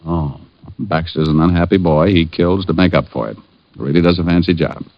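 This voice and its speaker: A man's voice: deep voice, moderate pace, no echo. An actor playing a sardonic private detective and former policeman in an old radio drama, with an American accent and dry, teasing wit. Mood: wry, probing. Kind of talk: 1940s-50s radio detective drama, narration and dialogue. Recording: dull old radio recording with music.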